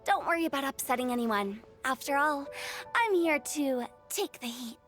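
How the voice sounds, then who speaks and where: A woman's voice: high-pitched, animated, normal speed, a bit bright, faint music behind. An actress playing a playful young woman who teases and goads the others. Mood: teasing. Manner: teasing, bold. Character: playful, teasing young woman host of a poetry contest. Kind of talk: game cutscene voice acting.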